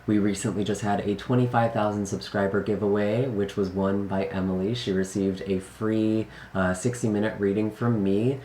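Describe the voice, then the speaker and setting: Soft-spoken man, slightly raspy, roomy sound. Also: on the low side, steady tone, normal speed, few pauses, a little hiss or hum. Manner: gentle, earnest, many fillers. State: recovering from illness. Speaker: tarot reader. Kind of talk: casual channel intro.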